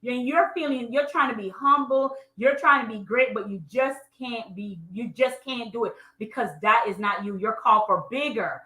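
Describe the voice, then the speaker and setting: Jamaican lady doing a motivational live training, high voice, emphatic and chatty, echoey room. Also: moderate pace, hardly any pauses, sharp tone. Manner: emphatic, repetitive, colloquial direct address. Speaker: online business coach.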